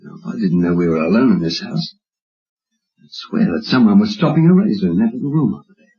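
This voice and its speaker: An actor playing a reluctant, wary husband who grumbles drily, in old-time radio drama style. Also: male voice, on the low side, quick pace, faint music behind. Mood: uneasy. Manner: dry, grumbling, expressive. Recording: dull lo-fi old radio recording.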